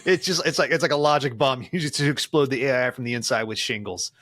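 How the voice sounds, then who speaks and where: A man's voice: mid-range voice, fast talker, a bit bright, no echo, studio quality. A man hosting a podcast in casual, jokey banter, chuckling often and swearing casually as he introduces the players. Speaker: podcast host.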